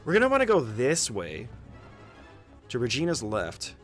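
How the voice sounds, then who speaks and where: A man's voice: mid-range voice, wildly swooping pitch, normal speed, soft background music. Casual man talking through a game speedrun. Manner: informal, swears casually. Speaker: speedrunner giving a tutorial. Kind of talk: casual game walkthrough commentary.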